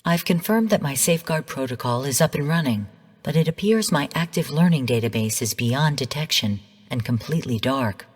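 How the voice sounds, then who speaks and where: Give the game a stern female artificial intelligence, heard through long hall reverb. Her voice is low and a little husky. She briefs formally and analytically, in measured, composed phrases. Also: lively intonation, full character voice. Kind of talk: scripted game narration.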